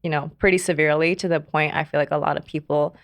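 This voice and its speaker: A woman in her thirties, from South Korea, telling her life story in a casual interview, speaking softly with many fillers, pauses and laughs. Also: low voice, steady tone, no room sound, clean studio sound. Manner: soft-spoken, many fillers and trailing pauses, laughs often. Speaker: podcast guest.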